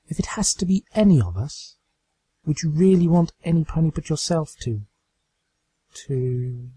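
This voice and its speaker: Rational storybook unicorn mare, voiced by a man, earnest and firm, lifted pitch, dry sound. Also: mellow, husky, dry recording, rough recording. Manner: earnest, measured. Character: serious, rational female unicorn pony, firm but sorrowful.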